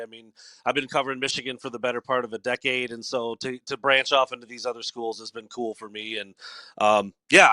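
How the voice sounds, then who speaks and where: A forty-year-old man hosting a sports podcast, his voice bright and forward. He chats loosely and casually, full of fillers and restarts. The sound is dry and close. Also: mid-range voice, dry recording, low-quality recording. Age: 40s. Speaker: podcast host.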